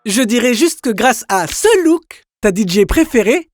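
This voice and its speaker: A fairly high-pitched, flamboyant cartoon hairdresser, played by a woman, boastful and theatrical. Light, showy speech sits over faint music. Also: expressive, unhurried, darkish tone. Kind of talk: children's cartoon dubbing. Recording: music behind the voice.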